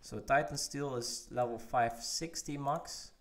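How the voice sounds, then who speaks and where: A man chats casually with viewers during a game livestream. His voice sits at an ordinary middle pitch. He talks loosely and stops often with fillers as he checks things in the game. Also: a little slow. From Netherlands. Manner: answers chat questions while playing, hesitant with many fillers. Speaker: game streamer.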